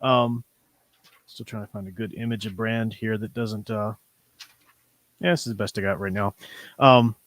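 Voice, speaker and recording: A man's voice: fairly low pitch, steady tone, moderate pace, long pauses, a bit bright, no echo. A man hosting a livestream, talking casually about comics in a rambling, hedging way. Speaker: livestream host.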